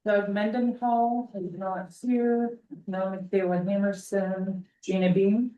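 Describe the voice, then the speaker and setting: A woman's voice: mid-range voice, little pitch movement, measured pace, mellow, echoey room, distant mic, muffled recording. Woman calling roll from across the room.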